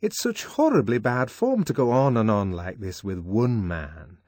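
A man's voice: on the low side, big pitch swings, unhurried, a little mellow, no room sound, studio quality. A man reading an audiobook voices a prim, disapproving young woman who scolds her friend with fussy, mock-shocked emphasis. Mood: scolding. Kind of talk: audiobook character voice.

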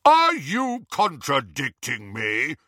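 A large, commanding wizard official from a fantasy storybook, performed by a male narrator. The voice booms with blustering authority and is forceful and expressive. The sound is dry and close. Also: slow, no room sound, heavily acted. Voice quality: powerful, booming. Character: young hero disguised as big, commanding, intimidating wizard official.

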